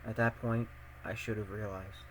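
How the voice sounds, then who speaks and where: A man playing an anxious, earnest teenage high-school boy who narrates his own thoughts in a visual novel fan dub, musing and questioning himself. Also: flat delivery, moderate pace, a bit bright, a little hiss or hum, natural voice, rough recording. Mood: ominous, dramatic. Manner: self-questioning, musing aloud.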